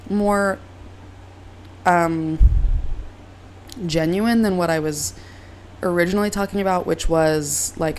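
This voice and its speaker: A young woman hosting her own podcast, hoarse and raspy from a cold, chatting in a rambling, self-deprecating way. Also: sits low, a little mellow, a little hiss or hum. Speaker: podcast host. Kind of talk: casual solo podcast chat. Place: moved from Reno to LA, recording at home.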